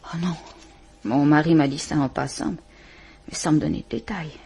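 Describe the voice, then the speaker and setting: An actress playing a distraught woman questioned by a police inspector, speaking haltingly and often near a whisper, in a vintage French radio drama. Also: sits low, dark tone, exaggerated voice. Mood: subdued. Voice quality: breathy, trembling. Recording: old radio play recording.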